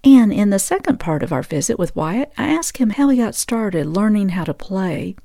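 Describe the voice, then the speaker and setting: A woman's voice: medium pitch, very animated, moderate pace, vocal fry, mellow. A woman hosting an interview in a conversational style, encouraging and given to folksy exclamations. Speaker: radio host and interviewer.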